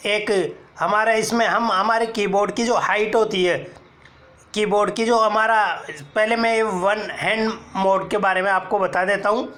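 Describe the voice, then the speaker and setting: A man's voice: sits high, fairly even intonation, measured pace, a bit bright. A man explaining phone keyboard settings step by step, as a tutorial presenter who keeps calling viewers friends and pointing out each screen. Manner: step-by-step, addresses viewers as friends, repeats "look here". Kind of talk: screen-recorded how-to explanation.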